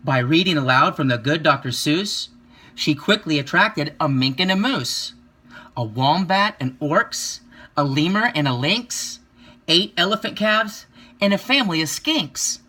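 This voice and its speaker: A man reading a children's picture book aloud, with expressive, rhythmic delivery and drawn-out emphasis. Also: a little high. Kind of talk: children's story read-aloud.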